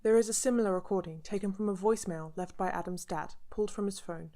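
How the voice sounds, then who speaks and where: A woman playing a serious, measured investigator who narrates recorded evidence in a scripted radio drama. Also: medium pitch, dark tone, lightly acted. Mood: serious, intrigued. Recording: music bed under the voice.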